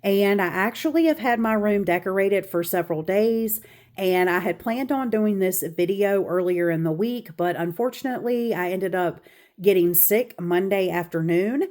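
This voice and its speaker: A woman's voice: mid-range voice, moderate pace, darkish tone. Congested Southern woman giving a room tour. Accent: Southern American. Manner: chatty, with frequent ums and asides. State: congested, stuffy nose. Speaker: craft channel host. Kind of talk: casual room tour vlog. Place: home craft room, walking around.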